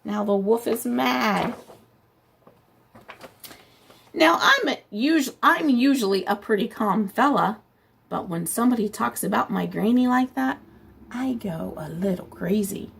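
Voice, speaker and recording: A woman's voice: on the high side, lively intonation, normal speed, vocal fry, husky, exaggerated voice. Woman reading as an indignant, folksy storybook wolf. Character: indignant wronged wolf telling his side, folksy and self-pitying.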